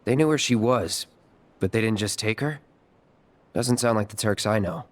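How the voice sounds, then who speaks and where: An actor playing a guarded, skeptical young fighter in a video game, with a terse delivery. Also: male voice, fairly low pitch, moderate pace, close to an everyday voice. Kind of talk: dramatic game cutscene acting.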